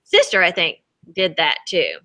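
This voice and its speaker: A woman teacher reading a picture book aloud to young children in an expressive, chatty way, often asking them questions. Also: on the low side, moderate pace. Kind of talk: expressive read-aloud storytime.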